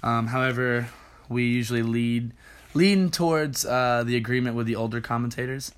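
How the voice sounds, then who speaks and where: A young man, a youth worship leader, giving a casual Bible study talk in a tired, slightly hoarse voice, often saying um and clearing his throat. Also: medium pitch, moderate pace. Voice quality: many ums and throat clearing.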